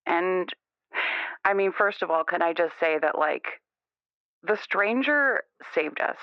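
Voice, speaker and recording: A man's voice: high-pitched, expressive, slow, clean tone, no echo, exaggerated voice. A man playing a defensive, conformist young caller, insistent and rambling, in an improvised radio call-in drama. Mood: earnest, troubled. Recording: band-limited, muffled phone-in radio sound.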